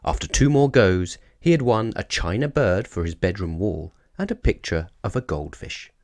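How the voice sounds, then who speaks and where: A male narrator reads a children's storybook. His voice sits on the low side and moves expressively up and down. The sound is dry, with little room around it. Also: lively intonation, normal speed, a little mellow, no room sound.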